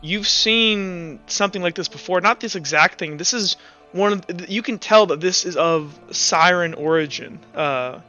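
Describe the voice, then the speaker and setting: A man narrating a tabletop game as game master, improvising in a casual, halting way. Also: high-pitched, sharp tone, dull audio, soft background music.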